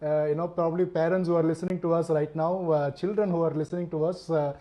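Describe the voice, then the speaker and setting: A man's voice: a little high, fairly even intonation, fast talker, dark tone. A man from India hosting an interview, talking hesitantly with many fillers and repeated words. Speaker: host. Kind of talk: interview conversation.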